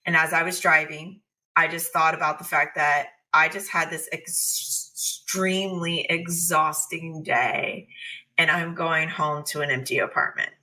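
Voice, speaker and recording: A woman speaks in a slightly low register with a bright, clear-cut edge. She is candid and conversational, telling personal stories and acting out her own thoughts as she goes. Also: fairly even intonation, unhurried, low-quality recording. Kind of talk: casual, confessional conversation.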